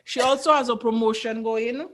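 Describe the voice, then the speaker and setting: A woman's voice: a little high, normal speed, a bit bright. Playful Caribbean woman chatting on a podcast. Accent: Caribbean English. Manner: playful, informal, slangy. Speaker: podcast co-host. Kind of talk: casual podcast chat with shout-outs.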